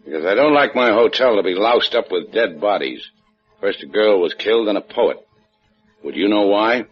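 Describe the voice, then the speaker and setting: An actor playing a tough, sardonic hard-boiled adventurer, deadpan and wisecracking in a 1950s radio drama. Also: male voice, on the low side, moderate pace, natural voice. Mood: tough, suspicious. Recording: dull 1950s radio recording, faint music.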